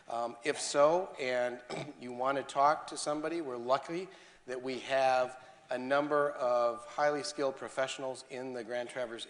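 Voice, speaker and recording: Male moderator, measured and earnest, fades at phrase ends; mid pitch, hall reverb. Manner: earnest, measured, trailing off quietly. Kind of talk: moderating an onstage interview. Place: lecture hall.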